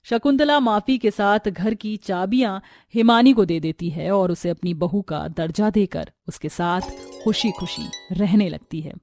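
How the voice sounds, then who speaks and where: A woman's voice: mid-range voice, unhurried, darkish tone, dry recording, faint music behind. A woman narrating a scripted family story as the storyteller.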